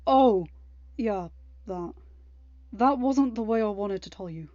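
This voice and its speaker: A woman's voice: medium pitch, expressive, takes it slowly, a little mellow, no room sound, muffled recording. A woman voicing a devoted young royal man, fiercely protective and emphatic, in a storybook reading. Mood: tender, earnest. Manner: emphatic, stern, near a growl when angry.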